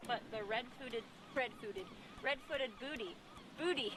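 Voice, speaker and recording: A woman's voice: fairly high pitch, lively intonation, a little slow, noisy background. A woman chatting casually and playfully in short bursts.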